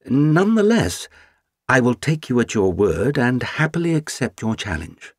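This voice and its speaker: A man's voice: mid-range voice, big pitch swings, moderate pace, breathy edge, no echo, studio quality, pitched above the performer's own voice. Man playing a florid, gallant Russian count. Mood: grandiloquent. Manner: florid, theatrical oratory. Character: eloquent, gallant Russian count, grand and witty.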